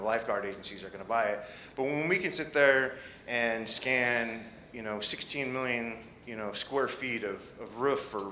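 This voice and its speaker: A man's voice: mid-range voice, sharp tone, roomy sound, slight background noise. A man answering questions on a conference panel, speaking with many fillers and restarts.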